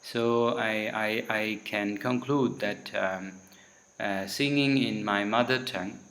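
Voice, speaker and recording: A man whose native tongue is Tamil speaks alone to the recording in a low voice. He talks unhurriedly and keeps stopping to hunt for the next word, so his sentences come out in broken pieces. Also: fairly even intonation, a bit bright. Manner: halting, searching for words mid-sentence. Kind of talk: recorded solo talk.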